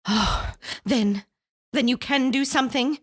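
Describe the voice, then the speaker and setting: An actress playing an anxious, demanding, self-pitying invalid wife in a suspense radio drama, fretful and flustered. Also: female voice, high voice, a little slow. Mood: nervous, fretful. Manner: fretful, indignant, flustered with stammered restarts.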